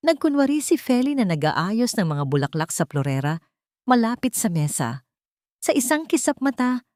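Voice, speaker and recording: A woman's voice: medium pitch, a little mellow, no echo, clean studio sound. A woman storyteller reading a scripted, dramatic narration.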